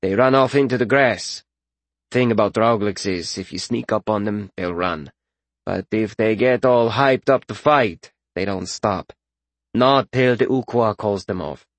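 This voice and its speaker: A man voicing a calm, laconic fighter and healer in a fantasy audiobook, with an understated, measured manner. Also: lowish voice, dry recording. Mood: matter-of-fact. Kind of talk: audiobook fantasy character acting.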